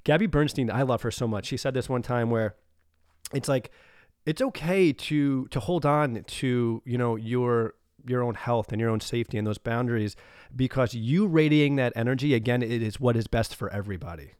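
Man, podcast host, crisp smooth voice, dry close sound, upbeat and affirming. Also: medium pitch, normal speed, a little mellow, dry recording. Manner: upbeat, affirming, lots of fillers. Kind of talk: casual interview chat.

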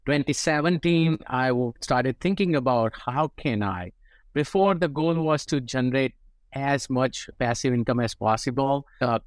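A man's voice: medium pitch, no echo. An Indian-accented man talks through his career as a guest on an interview show. His voice sits in a middling register. The recording is dry and close, with no room sound. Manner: explanatory, frequent "right?" tags. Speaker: guest investor. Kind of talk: interview conversation.

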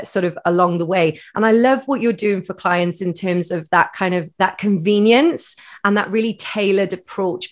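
A woman hosting a business podcast interview, effusive and upbeat. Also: fairly low pitch. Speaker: podcast host. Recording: dull lo-fi sound with music behind.

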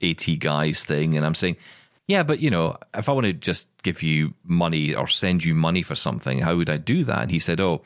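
Male co-host, low and lively, playful banter, dry home recording. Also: a little fast, no echo. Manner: chatty, jokey, acts out quoted exchanges. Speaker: podcast co-host. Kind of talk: casual chat. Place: spare room at home. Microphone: remote recording.

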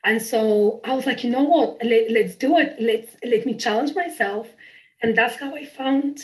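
A fairly high-voiced woman in her thirties speaks with a Bulgarian accent. She chats easily as an interview guest, bubbly and quick to laugh at herself. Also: a little mellow, rough recording. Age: 30s. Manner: bubbly, laughs often, self-deprecating. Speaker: podcast guest. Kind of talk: casual interview chat.

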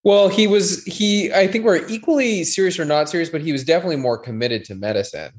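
A man in his thirties from Canada, a doctor and TV writer, talking as a podcast guest in a measured way, full of qualifiers. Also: on the high side. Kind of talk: casual interview chat.

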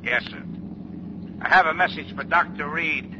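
A male actor plays an efficient police dispatcher in fifties radio drama. His voice is a little high, formal and procedural. The recording is dull, with clear noise behind. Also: normal speed, lightly acted. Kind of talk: 1950s radio drama acting. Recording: dull noisy police-radio scene, old broadcast.

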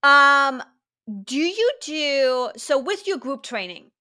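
Bright-voiced German-accented woman host. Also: sits high, animated. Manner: chatty, curious, quick asides. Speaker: podcast host. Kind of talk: casual interview chat.

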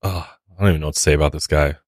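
A man's voice: extremely low pitch, steady tone, very fast, no echo, clean studio sound. An American man co-hosting a podcast with casual, blunt, incredulous and sweary commentary. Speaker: podcast co-host.

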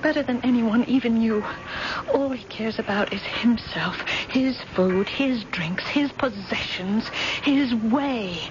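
A woman's voice: a little high, slow pace. An actress playing a frightened, unhappily married wealthy woman in an old-fashioned radio drama, hesitant and pleading. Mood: anguished, bitter. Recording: dull, noisy old radio broadcast recording.

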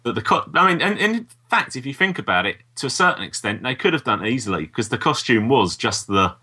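A man's voice: medium pitch, sing-song, fast talker, pushed and bright, husky. A British man co-hosting a podcast in casual, conversational chat. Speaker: podcast co-host.

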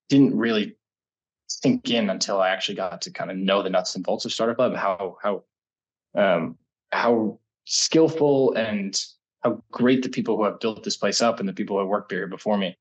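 American man in his thirties, lowish husky voice, casual and rambling interview storytelling. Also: dull audio. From United States. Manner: rambling, self-correcting, laughs often. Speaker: guest, startup incubator energy lead.

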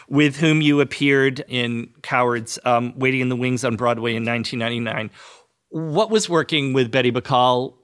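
A man hosting an interview, putting prepared questions in measured, formal phrasing. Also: mid-range voice, fairly bright tone. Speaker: interviewer, host.

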